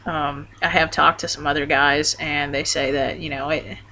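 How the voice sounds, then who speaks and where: A young man giving a casual video update, talking hesitantly with lots of ums and restarts. His voice wobbles between deeper and higher tones. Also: flat delivery, moderate pace, pushed and bright, slight background noise. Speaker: vlogger.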